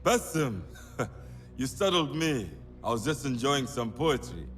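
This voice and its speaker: A man's voice: medium pitch, big pitch swings, unhurried. A man playing a genial, bookish spymaster in a video game cutscene, speaking in formal, lyrical phrases. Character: male bureau keeper, genial, bookish spymaster. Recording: long reverberant hall, music behind.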